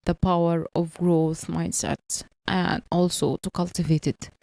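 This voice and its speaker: A woman's voice: on the low side, flat delivery, unhurried, vocal fry, darkish tone, no room sound. A woman in her early thirties, a non-native English speaker, giving an earnest motivational talk and repeating her points. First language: not English. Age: early 30s. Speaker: motivational vlogger.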